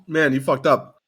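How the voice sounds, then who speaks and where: Raspy, teasing male streamer. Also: mid-range voice, fairly bright tone. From Denver, Colorado area. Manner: teasing, profane, laughing often. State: raspy from illness. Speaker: streamer host. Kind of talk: casual chat.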